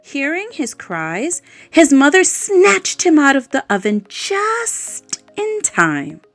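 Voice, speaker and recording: A woman reading a children's story aloud, expressive and adding playful little asides to her listeners. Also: high-pitched, slow, raised voice. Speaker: storybook reader. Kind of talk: bedtime story read-aloud. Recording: faint background music.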